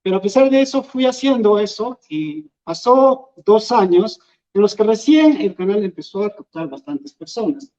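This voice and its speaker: A man from Peru, a Quechua speaker, giving an earnest, halting talk as an invited speaker at an event. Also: sits high, animated, mellow. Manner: earnest, halting, thinks aloud. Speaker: invited speaker, language educator. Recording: dull lo-fi event recording.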